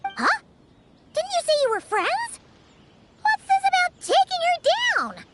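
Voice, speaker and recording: A woman's voice: very high voice, huge pitch swings, a little slow, very noisy, exaggerated voice. A woman voicing a tiny flying fairy girl in an anime-style video game, cheeky, curious and childlike, with a chirpy manner. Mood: puzzled, chatty. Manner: refers to self in third person, chirpy.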